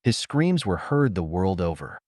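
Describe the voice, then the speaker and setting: A man reading pulp science fiction as an audiobook narrator, in an expressive, theatrical way. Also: low voice, measured pace, no room sound, clean studio sound.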